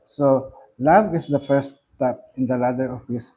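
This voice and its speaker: A man with a Filipino accent, speaking English as a second language, giving a measured, solemn reflection on a prayer with occasional fillers. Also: medium pitch, warm tone, slightly rough. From Philippines. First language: not English. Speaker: reverend giving commentary.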